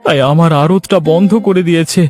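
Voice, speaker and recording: A man's voice: a little high, fairly even intonation, a little fast, a little mellow, faint music behind, natural voice. A man playing a humble, troubled village fish trader in a Bengali cartoon story, speaking in an earnest, plaintive way. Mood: distressed.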